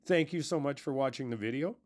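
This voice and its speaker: A man's voice: mid-range voice, a little fast, a little mellow. A man speaking as an audio creator, giving a channel outro straight to his viewers.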